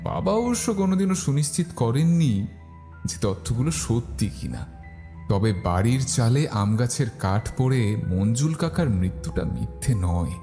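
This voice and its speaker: Mellow male storyteller. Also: medium pitch, big pitch swings, measured pace. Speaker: narrator. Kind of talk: scripted first-person horror story narration. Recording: faint music behind the voice.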